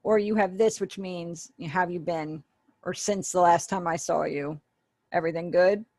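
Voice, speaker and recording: A woman's voice: on the low side, normal speed, a little mellow, no room sound. A woman giving an online sign language lesson over a webinar call, patiently explaining and acting out example phrases. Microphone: webinar video call.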